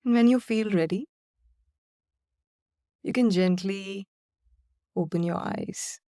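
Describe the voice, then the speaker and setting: A woman guiding a body-scan and breathing meditation in slow, calm, soothing instructions with long pauses. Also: medium pitch, dry recording, clean studio sound. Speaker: meditation guide. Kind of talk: guided meditation.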